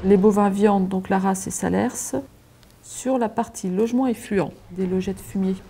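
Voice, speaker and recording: A woman's voice: mid-range voice, steady tone, normal speed, mellow, a little hiss or hum. A woman, a farm adviser explaining audit results methodically at a farmhouse kitchen table, with many fillers.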